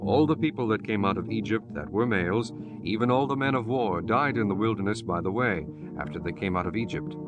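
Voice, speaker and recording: Male audiobook narrator, lowish pitch, solemn scripture reading, measured, formal, clear guitar music underneath. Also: lively intonation, highs cut off.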